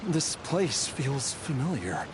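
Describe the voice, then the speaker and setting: An actor playing a desperate, weary husband resigned to death in a fantasy video game, with an earnest, dramatic delivery. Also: male voice, mid-range voice, very animated, slow pace, background noise. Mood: dazed, uneasy. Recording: clear music behind.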